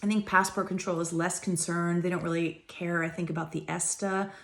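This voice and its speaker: Woman vlogging, kinda low voice, chatty, walks thru it step by step. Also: flat delivery, fairly quick, creaky, a bit bright. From United States. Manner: chatty, explains step by step with restarts. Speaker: travel vlogger. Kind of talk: casual explainer to camera.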